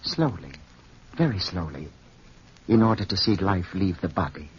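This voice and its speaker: An actor playing a suavely morbid, sinister fat man in an old radio horror drama, speaking with deliberate, falsely friendly politeness in a husky voice. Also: low-pitched, animated, a little hiss or hum. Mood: morbid relish. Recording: muffled old-time radio recording.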